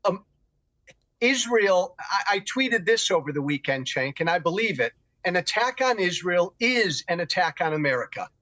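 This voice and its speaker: A man's voice: sits high, sing-song, normal speed, sharp tone, dry recording. A man speaking as a guest in a televised debate, emphatic and pushing to hold the floor. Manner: emphatic, talks over others.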